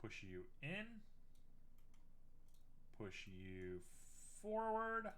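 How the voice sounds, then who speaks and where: A man's voice: fairly low pitch, wildly swooping pitch, very slow, fairly bright tone. A young man streaming live while making art, chatting casually and teasing himself as he works. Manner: chatty, self-mocking, thinks aloud while working.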